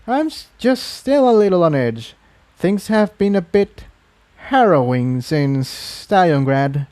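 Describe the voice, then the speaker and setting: A guarded refugee unicorn mare, acted out by a man, speaking well above his natural pitch. She is curt and apologetic, a fantasy storybook pony on edge. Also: sing-song, takes it slowly, a little mellow, heavily acted.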